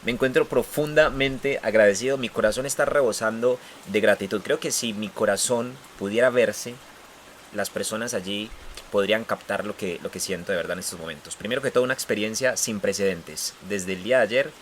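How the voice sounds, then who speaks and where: A man's voice: on the low side, fairly quick, a little hiss or hum. A man, a podcast guest speaking in an effusive, heartfelt way. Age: 20s. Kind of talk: casual podcast chat.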